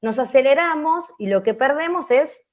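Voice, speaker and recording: A woman's voice: high-pitched, expressive, normal speed. A woman with a Rioplatense Argentine accent explaining as a radio teacher in an open class, often checking in with short tag questions. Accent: Rioplatense Argentine Spanish. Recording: dull lo-fi audio.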